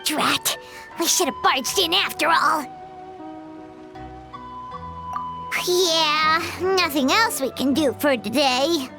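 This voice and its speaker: A squeaky, excitable little fairy companion. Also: female voice, takes it slowly, creaky, music in the background, exaggerated voice. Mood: frustrated. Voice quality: squeaky, childlike. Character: small floating fairy companion, childlike, peppy, talkative. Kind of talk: video game character acting.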